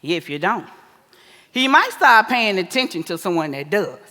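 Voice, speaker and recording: Woman doing a preachy american stage-play mama, older, sassy, really swoopy and high, big reverby theater. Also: moderate pace. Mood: sassy, teasing. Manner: animated, folksy, preachy. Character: sassy, scolding, wise older mother advising her married daughter. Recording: echoey theater hall with long reverb.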